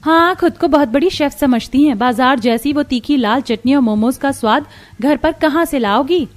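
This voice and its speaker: महिला की आवाज़ में ताने मारती ऊँची आवाज़ वाली ननद. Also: female voice, high voice, moderate pace, natural voice. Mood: mocking. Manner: taunting. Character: mocking, lazy, sharp-tongued young sister-in-law. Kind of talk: Hindi cartoon story acting.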